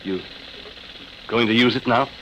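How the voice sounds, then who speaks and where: A man's voice: mid-range voice, a little slow, a bit bright, close to an everyday voice, poor audio. An actor playing an anxious, hesitant ordinary man under threat in a radio drama, nervous and urgent. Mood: anxious urgency. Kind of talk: 1950s American radio drama acting. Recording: hissy old radio recording.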